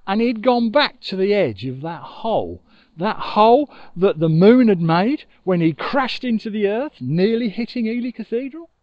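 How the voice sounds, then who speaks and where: A middle-aged man telling a children's story expressively, with dramatic pauses, recorded outdoors on a lapel mic. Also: sits high, very animated, no room sound, muffled recording. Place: outdoors on an open riverbank. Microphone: lapel mic with furry windshield.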